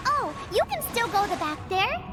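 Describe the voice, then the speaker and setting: A woman's voice: extremely high pitch, sing-song, moderate pace. A woman voicing a tiny childlike magical mascot creature in a game cutscene, boastful, huffy and speaking in quirky syntax. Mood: smug. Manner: quirky syntax with misplaced "the", indignant. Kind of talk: video game cutscene acting. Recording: music behind the voice.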